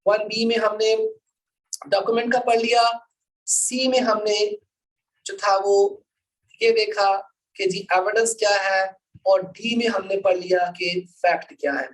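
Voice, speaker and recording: A woman's voice: medium pitch, fairly even intonation, a little slow, far from the mic, rough recording. A woman teaching law to students in a didactic way, repeating her points and mixing in English with her Hindi-Urdu. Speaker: law lecturer. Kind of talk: live lecture to students, explaining and reading out articles.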